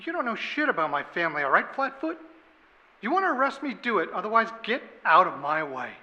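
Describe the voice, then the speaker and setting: An actor playing a defensive, agitated murder suspect in an audio drama, halting and stammering as he is questioned. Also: high-pitched, very animated, bright, forceful tone, long reverb tail, highs cut off, full character voice. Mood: angry, defiant. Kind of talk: audio drama interrogation acting.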